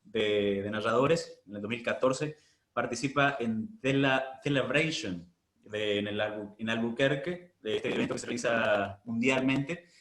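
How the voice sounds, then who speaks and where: A man hosting a formal interview, courteous and halting in his delivery, recorded with roomy echo over an internet call. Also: medium pitch, fairly bright tone. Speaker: interviewer, host. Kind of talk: formal interview, introducing a guest.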